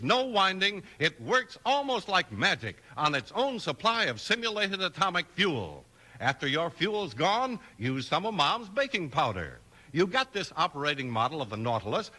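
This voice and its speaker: Bright, high-pitched man announcing on vintage radio. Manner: emphatic, excited salesmanship.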